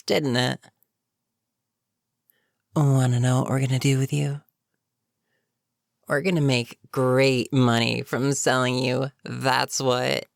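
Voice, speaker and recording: A voice actor playing a bitter, menacing goblin captain in a fantasy audio roleplay, speaking to a captive with sardonic, unhurried menace. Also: mid-range voice, creaky, dry recording, clean studio sound. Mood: bitter, scornful. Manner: sardonic, unhurried, matter-of-fact threats. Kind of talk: scripted fantasy audio roleplay, addressing the listener.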